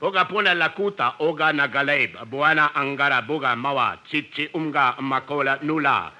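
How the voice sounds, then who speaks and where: A man's voice: fairly high pitch, fairly quick, pushed and bright. A man playing a grumbling porter who talks in mock jungle gibberish in a 1950s radio comedy. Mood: insistent. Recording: old radio broadcast recording.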